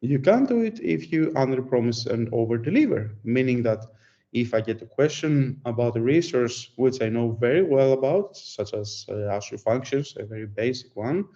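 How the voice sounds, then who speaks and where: Greek-accented male speaker, mellow voice, chatty storyteller, muffled lo-fi recording. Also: medium pitch, animated, normal speed. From Greece. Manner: conversational, storytelling, self-deprecating. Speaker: conference speaker. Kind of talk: presentation.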